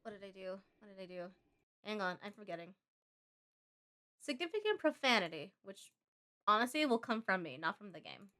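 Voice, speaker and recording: A woman's voice: mid-range voice, big pitch swings, moderate pace, long pauses, bright, forceful tone. A woman game streamer chatting casually and reactively, reading on-screen text aloud and muttering asides to herself.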